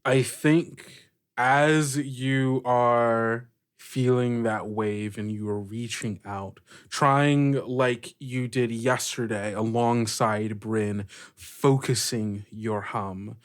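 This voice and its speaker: A man narrating and chatting through an improvised tabletop role-playing game as game master, with deliberate pauses. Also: mid-range voice, steady tone. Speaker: game master and player in an actual-play podcast.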